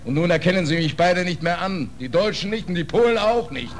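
An actor playing a cynical, resigned German ship's hand, terse and sardonic, in a 1940s radio drama. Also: male voice, high-pitched, a little fast, natural voice. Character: cynical, hard-bitten German stoker, stateless and resigned. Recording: noisy 1940s radio recording.

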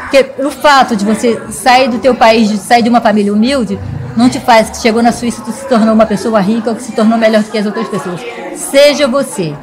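A woman's voice: fairly high pitch, a little fast, music in the background, crowd noise, rough recording. A middle-aged businesswoman from Rio with a Carioca accent, speaking earnestly as a guest in a small studio. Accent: Carioca Brazilian Portuguese. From Rio de Janeiro, Brazil. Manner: earnest, forthright. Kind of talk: casual interview chat. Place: small studio in front of a logo wall. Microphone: studio mic on boom arm.

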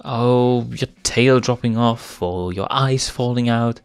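A man's voice: takes it slowly, smooth, no room sound, faint music behind. A man voicing a playful young female lion cub who teases and giggles in a raised voice. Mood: teasing. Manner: giggly, teasing, raised pitch.

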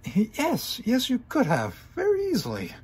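A man's voice: very high-pitched, wildly swooping pitch, normal speed, a little mellow, husky, voice pushed up, full character voice. A man voicing a proud, despairing young female pony magician who speaks of herself in the third person. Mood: distraught.

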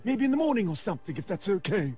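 A man's voice: high-pitched, very animated, fast talker, warm tone, breathy edge, exaggerated voice. A man putting on a posh, dutiful royal son with an affected British accent. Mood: polite, concerned. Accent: mock upper-class British.

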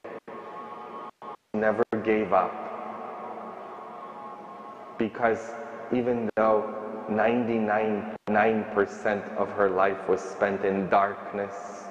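A man in his thirties preaching a sermon as a priest, slow and emphatic, in a large stone church at a stand microphone. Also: fairly low pitch, little pitch movement, faint music behind. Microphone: stand mic at ambo. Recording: echoey church, distant dull sound.

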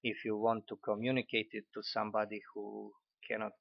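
A man in his forties from Croatia with a Croatian accent, critiquing an article in halting speech with many fillers and restarts. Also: lowish voice, level tone, creaky. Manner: hesitant, frequent fillers and restarts. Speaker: commentator. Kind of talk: unscripted spoken critique. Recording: dull lo-fi audio.

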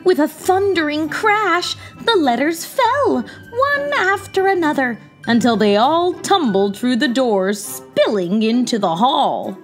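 A woman in her thirties narrating a children's picture book aloud in a theatrical, deliberate, expressive style. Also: sits high. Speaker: children's read-aloud host. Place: small indoor room. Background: music.

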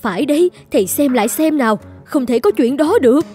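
A woman voicing a fretful middle-aged mother in an animated folktale. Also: sits high, a little fast. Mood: worried. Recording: music bed under the voice.

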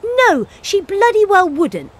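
A woman's voice: very high-pitched, big pitch swings, takes it slowly, exaggerated voice. An actress playing a bitter, defiant working-class cleaner and self-styled wolf woman in an audio play monologue, with an earthy, sweary way of rambling. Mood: riled, argumentative.

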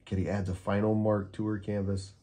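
A man reading a children's storybook aloud as an expressive narrator. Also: fairly low pitch, fairly quick. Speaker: storyteller, host of a read-aloud show.

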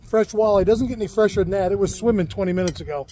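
A man with a fairly high but mellow, warm voice talks in a relaxed, rambling way, full of restarts and fillers. He hosts a fishing show outdoors by a lake and moves easily between chatting and showing how to clean a fish. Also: very fast. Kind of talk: casual outdoor chat and demonstration. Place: lakeside outdoors.

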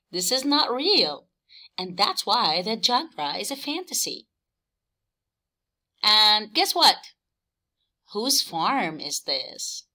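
A woman teacher reading aloud for young children, expressive and emphatic, often questioning. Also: mid-range voice. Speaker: teacher and story reader.

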